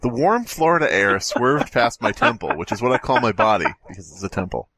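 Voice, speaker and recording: A man's voice: mid-range voice, very animated, brisk, a bit bright, no echo. A man co-hosting a podcast, reading a story aloud in a mock-dramatic way with joking asides. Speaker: podcast co-host.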